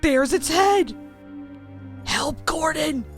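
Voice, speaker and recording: A woman's voice: high-pitched, animated, extremely slow, pitched above the performer's own voice, exaggerated voice. A woman voicing an earnest young male tank engine in a storybook, brave but jittery and urgent, over music. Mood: frightened. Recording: music under the voice.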